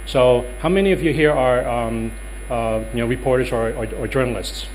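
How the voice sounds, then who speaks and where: A man's voice: mid-range voice, normal speed, a little mellow, slight background noise, rough recording. A man in his thirties with a Dutch accent giving a workshop talk in a conference room, hesitant with many ums and uhs. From Netherlands. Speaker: lecturer.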